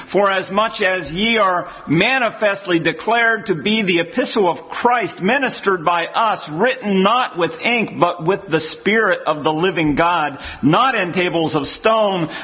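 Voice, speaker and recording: A man's voice: sits high, muffled recording. A man preaching an expository sermon. He reads scripture aloud and then explains it verse by verse.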